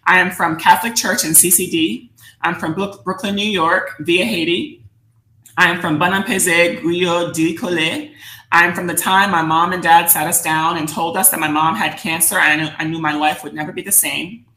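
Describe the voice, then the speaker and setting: An American woman giving an instructional presentation in a deliberate, reflective manner, often posing questions to her listeners. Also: fairly low pitch, steady tone, sharp tone. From United States, New Jersey suburbs. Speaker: workshop presenter, educator. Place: indoor room, virtual session. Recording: roomy echo on the voice.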